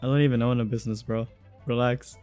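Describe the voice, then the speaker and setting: A man's voice: mid-range voice, fairly even intonation, quick pace, music in the background. A man giving casual, jokey, slangy reaction commentary while playing a game, swearing freely. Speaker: game streamer.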